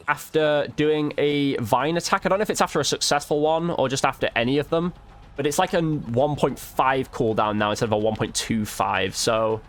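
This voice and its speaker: A man's voice: on the high side, a bit bright. A man giving casual gameplay commentary, informally thinking aloud as he plays. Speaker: gaming video creator.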